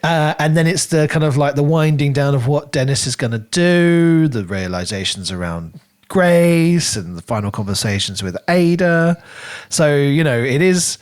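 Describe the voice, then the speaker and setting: A man with a slightly high voice talks as a podcast co-host. He reviews a book conversationally, circling and repeating words while he works toward his point. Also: expressive, normal speed. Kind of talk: casual conversational book discussion.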